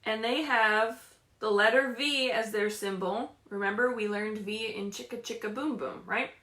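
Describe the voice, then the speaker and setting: A woman reading and chatting to young children as a story time leader, animated and often prompting them with questions. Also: a little high, normal speed, reverberant. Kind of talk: read-aloud picture book storytelling for young children. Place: indoors at home.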